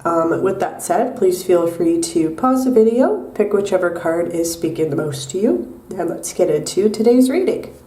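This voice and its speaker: A young woman giving a tarot reading in a soft, unhurried way with many pauses and ums, her voice nasal from a cold. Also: fairly low pitch, expressive, far from the mic. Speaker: tarot reader. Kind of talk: pick-a-card tarot reading. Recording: echoey room, mic set back.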